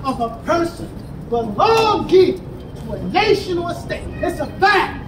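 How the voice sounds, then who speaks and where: American man, high-pitched street preacher, emphatic call-and-response, recorded far off amid a crowd and traffic. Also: very animated, measured pace. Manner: emphatic, rising to shouts, asks the crowd to respond. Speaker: street teacher. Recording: echoey and distant, with crowd and traffic. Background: crowd responses and passing vehicles.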